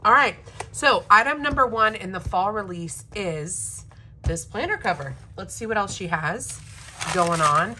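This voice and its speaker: A woman with a slightly raspy voice from a recent illness chats casually and eagerly at her desk, now and then clearing her throat. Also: medium pitch, animated, a little slow, sharp tone, a little hiss or hum. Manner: enthusiastic, chatty, frequent throat clearing. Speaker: planner vlogger. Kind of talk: casual unboxing chat. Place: home desk.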